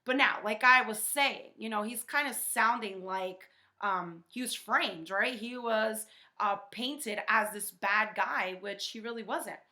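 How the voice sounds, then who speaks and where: A woman's voice: mid-range voice, slow pace, sharp tone. A woman teacher talking to young pupils in a conversational way, often checking in with a short questioning tag. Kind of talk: children's lesson talk.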